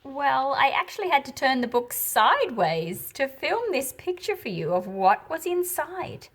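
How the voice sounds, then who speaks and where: Expressive, unhurried woman reading a picture book. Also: high voice. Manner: expressive, explanatory, deliberate phrasing. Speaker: picture book read-aloud host. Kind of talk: storybook read-aloud with teaching commentary.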